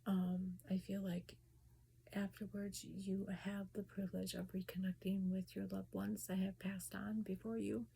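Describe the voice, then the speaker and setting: A woman talking casually about spirits and grief in a soft whisper, hesitant and full of restarts. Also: medium pitch, little pitch movement, measured pace, creaky, slight background noise. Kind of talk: casual personal talk.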